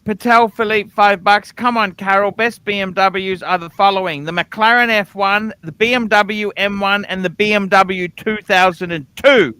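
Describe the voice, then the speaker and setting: Opinionated male streamer, bright and clear high voice, dry sound, insistent, sweary chat. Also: fairly even intonation, normal speed, hardly any pauses, dry recording. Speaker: livestream host. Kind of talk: casual livestream chat about cars and watches.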